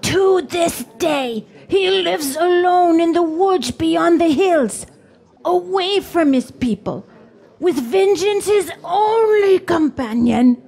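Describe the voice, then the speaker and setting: A solemn storyteller , played by a woman, with a very high, creaky voice. She narrates a fantasy drama slowly and dramatically. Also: expressive, mellow, exaggerated voice.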